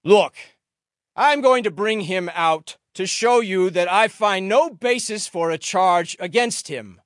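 A man playing a commanding, troubled Roman governor in a dramatic scripture reading, loud, emphatic and declamatory. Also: sits high, lively intonation, measured pace, dry recording, clean studio sound, pitched above the performer's own voice, exaggerated voice. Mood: loud proclaiming.

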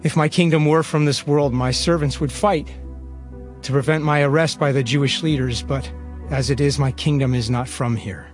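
A man voicing a grave, resolute holy teacher, measured and earnest, in a scripture reading. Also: fairly high pitch, steady tone, soft background music, close to an everyday voice. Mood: calm, resolute.

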